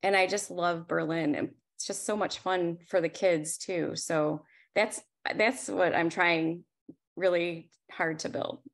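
A woman's voice: lowish voice, fairly even intonation, measured pace, vocal fry. A woman in her forties speaking candidly and conversationally as she presents her photography work on a video call. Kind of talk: informal slide presentation.

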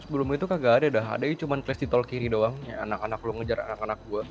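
A man's voice: mid-range voice, steady tone, racing, muffled recording, over a noisy crowd. A man, talking confrontationally in an online role-play game. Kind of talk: in-character argument in a multiplayer game.

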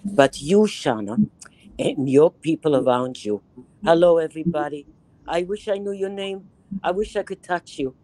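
A woman in her eighties from Israel with a Bronx accent, lecturing a reading group over a video call in an animated, emphatic way, repeating phrases for effect. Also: low-pitched, moderate pace, rough recording. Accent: Bronx New York. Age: 80s. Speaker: guest lecturer. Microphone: webcam on video call, close to the face.

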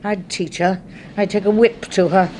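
A woman's voice: mid-range voice, fairly even intonation, moderate pace, darkish tone, a little hiss or hum, close to an everyday voice, rough recording. An actress playing a prim, self-righteous British secretary in a 1970s radio drama, precise and prissy in her speech. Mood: disgusted, seething. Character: prim, bitter, self-righteous spinster secretary, seething with disgust.

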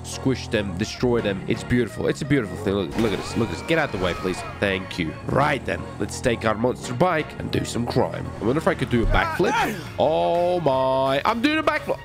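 A man hosting a gaming video, giving excited, playful and boastful commentary full of exclamations. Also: medium pitch. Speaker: gaming video host and player. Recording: music behind the voice. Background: music.

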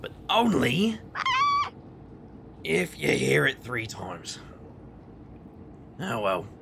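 A man playing a cocky, wry magician hero in an urban fantasy audio drama, gravelly and bantering. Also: mid-range voice, huge pitch swings, drawn-out words, fairly bright tone, a little hiss or hum, exaggerated voice. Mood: casual teasing. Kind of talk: audio drama dialogue and first-person narration.

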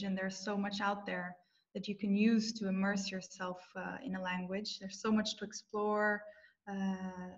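A woman's voice: medium pitch, a bit bright. Hesitant woman hosting a webinar call. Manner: many hesitations, uh and um. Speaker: webinar host. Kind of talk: moderating a webinar. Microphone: video call. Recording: dull video call audio.

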